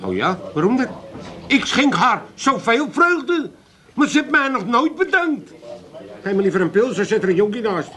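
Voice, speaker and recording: A man's voice: extremely high pitch, big pitch swings, normal speed, pushed and bright, heavily acted. An actor playing a cheeky, contrary old father in a comic radio play, drawling and teasing. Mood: wry teasing. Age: older.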